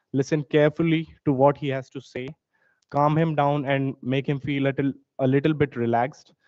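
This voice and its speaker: A young man with an Indian accent, mid-pitched, talks through a prepared competition speech in a steady, formal way with the odd filler. The recording is dull and lo-fi, and dry. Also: no echo. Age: young adult. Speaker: student competitor.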